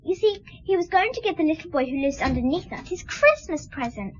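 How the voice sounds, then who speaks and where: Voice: very high-pitched, big pitch swings, fairly quick, highs cut off. A performer playing a small, earnest young girl in a radio drama, speaking in a simple, childlike way. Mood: earnest, curious.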